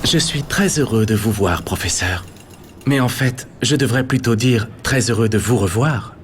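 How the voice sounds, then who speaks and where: A man's voice: medium pitch, moderate pace, a little hiss or hum, lightly acted. A man voicing a confident, polite young man in a video game. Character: confident young man, former apprentice from the future. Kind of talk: video game cutscene voice acting.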